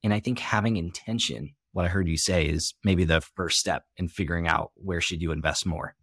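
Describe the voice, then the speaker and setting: A man's voice: low voice, steady tone, a little fast, fairly bright tone, husky, dry recording, studio quality. A man hosting a podcast interview, reflecting on and summing up what his guest says. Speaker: podcast host. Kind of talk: conversational interview.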